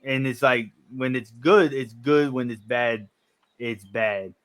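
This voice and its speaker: A man in his thirties hosting a casual chat, relaxed and agreeable, thinking aloud as he talks. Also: mid-range voice, slow pace. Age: 30s. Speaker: podcast host.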